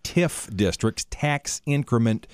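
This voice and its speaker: A man interviewing a local candidate, reading out prepared questions in a deliberate way. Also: mid-range voice, animated, no room sound.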